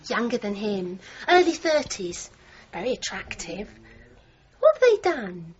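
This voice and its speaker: A woman's voice: medium pitch, very animated, a little slow. An actress playing a gossipy, knowing woman who works at a hotel desk, in a 1980s radio drama. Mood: nosy, knowing. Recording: dull, faintly noisy radio recording.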